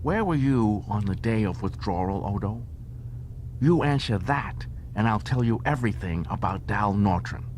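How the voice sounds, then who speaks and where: Male narrator as anxious alien barkeep, raised pitch, insistent, agitated, audiobook character. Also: expressive, moderate pace, darkish tone, a little hiss or hum, lightly acted. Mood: challenging.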